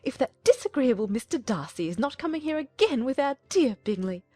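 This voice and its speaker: A woman playing a fussy, excitable middle-aged mother in a dramatized audiobook, exclaiming and easily vexed. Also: high voice, brisk, dry recording, faint music behind. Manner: exclamatory, gushing complaint.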